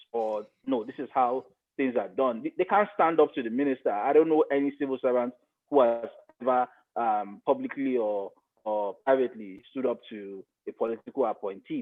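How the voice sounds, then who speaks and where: A man's voice: medium pitch, phone-quality sound. A middle-aged man with a Nigerian accent, explaining his view as an expert guest in a podcast interview and repeating points for emphasis. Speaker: guest, broadcast media expert.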